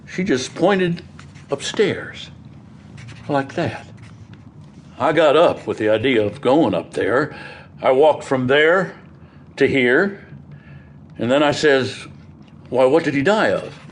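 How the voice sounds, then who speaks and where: Plain-spoken American farmer witness, noisy backdrop. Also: male voice, mid-range voice, lively intonation. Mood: earnest recounting. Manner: halting, folksy storytelling. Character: plain-spoken farmer witness, earnest and hesitant, recounting a grim discovery. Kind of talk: audio theatre acting.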